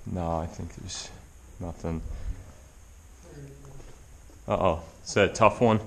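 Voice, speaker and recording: A man's voice: sits low, fairly even intonation, slow pace, gravelly, rough recording. A man chatting casually to his camera as he explores a cave, thinking aloud as he goes. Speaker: vlogger exploring caves. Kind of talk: casual handheld exploration commentary. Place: inside a limestone cave.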